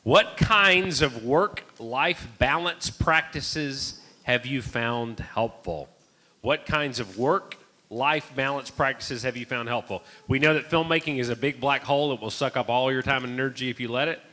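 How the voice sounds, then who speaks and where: A man moderates a filmmaker panel in a bright, forward voice. He introduces the guests in a friendly way and poses his questions clearly. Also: medium pitch, measured pace.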